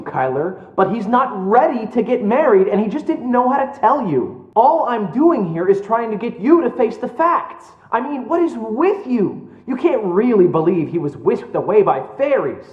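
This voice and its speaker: A man's voice: high voice, sing-song, measured pace, husky, no echo, phone-quality sound, exaggerated voice. A man playing a blunt, casual young real-estate schemer in a video game, with an offhand, emphatic, conversational delivery. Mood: defensive, insistent.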